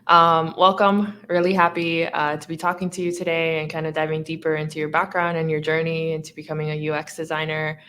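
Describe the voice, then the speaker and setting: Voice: medium pitch, little pitch movement, brisk, few pauses, sharp tone, slight background noise. An upbeat, encouraging interview host who asks questions casually, with fillers.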